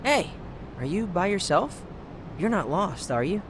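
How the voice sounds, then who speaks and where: Eager, high-pitched teenage boy. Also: very animated, unhurried, background noise. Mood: urging. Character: eager, hopeful teenage boy seeking his mother. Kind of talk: video game cutscene acting.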